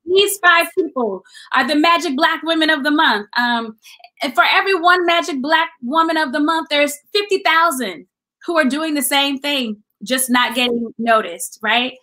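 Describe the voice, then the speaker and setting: A middle-aged American woman answers as a guest artist on a video call. Her voice is fairly high and distinctly bright and forceful. She speaks earnestly and reflectively, restating phrases for emphasis. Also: moderate pace. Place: indoor room at home, video call. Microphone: video call mic.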